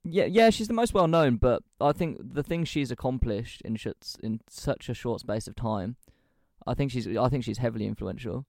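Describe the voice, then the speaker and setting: An English man in his twenties co-hosting a podcast, chatting casually with quick, animated asides. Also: mid-range voice, gravelly, no echo, clean studio sound. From England. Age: 20s. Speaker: podcast co-host. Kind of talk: casual chat.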